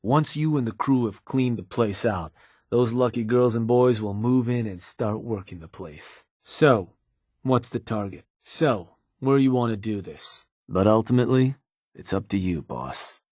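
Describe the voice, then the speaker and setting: An actor playing a sly, cynical gang advisor in a video game, with a husky, laid-back, slangy delivery and an undertone of menace. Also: male voice, unhurried, darkish tone, dry recording, clean studio sound, close to an everyday voice. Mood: sly, pleased. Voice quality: husky, low. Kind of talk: video game character dialogue.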